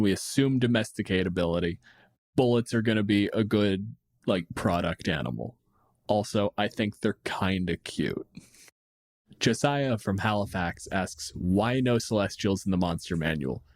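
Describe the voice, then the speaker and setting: Dry, blunt man with a lowish voice. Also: lively intonation, moderate pace, darkish tone, no room sound. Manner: dry, blunt, swears casually. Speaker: podcast host answering listener questions. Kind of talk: casual chat, riffing on tabletop game monsters.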